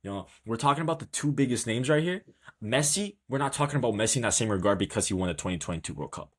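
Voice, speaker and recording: A man's voice: mid-range voice, expressive, very fast, slightly rough. A man from Brazil co-hosting a football podcast, speaking with passion and repeating points for emphasis. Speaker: podcast co-host. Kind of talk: casual football discussion.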